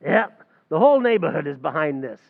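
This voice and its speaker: Actor as a scheming New barman, high and bouncy, lo-fi radio comedy. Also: big pitch swings, a little fast, gravelly. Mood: wisecracking. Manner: wisecracking, mangles grammar. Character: fast-talking scheming male tavern manager. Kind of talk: 1940s radio acting.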